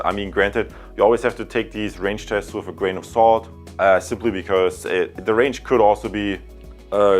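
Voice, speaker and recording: A man giving a casual tech product review, choosing his words carefully and a little hesitantly. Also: fairly low pitch.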